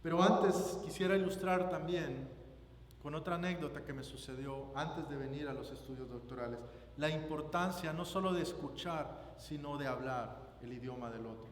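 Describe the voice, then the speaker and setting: A man with a Guatemalan Spanish accent preaches a sermon, phrasing it deliberately and emphatically and repeating phrases for weight. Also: fairly high pitch. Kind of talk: sermon with scripture reading and personal anecdote. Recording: roomy echoing hall, background noise.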